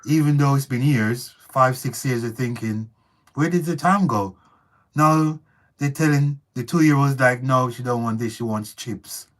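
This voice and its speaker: A man whose voice has gone hoarse and strained since surgery left one vocal cord not working, chatting casually on a live stream and telling stories to viewers. Talking hurts him. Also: mid-range voice, measured pace. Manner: relaxed storytelling, addresses viewers as guys. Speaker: co-host of family channel.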